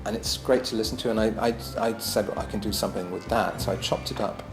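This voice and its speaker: Man, lowish voice, casual musing lecture, hesitant with ums, echoey room, music behind. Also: steady tone, fast. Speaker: musician lecturing on his own album.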